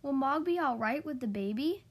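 A woman's voice: high-pitched, lively intonation, normal speed. A woman voicing an earnest, outspoken young child in a children's storybook, with eager, plain delivery.

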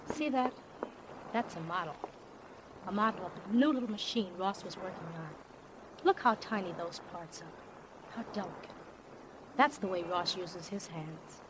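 A woman's voice: mid-range voice, lightly acted. An actress playing a defiant, wounded wife of an accused man, bitter and intense, in old-time radio drama style. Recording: noisy vintage radio recording.

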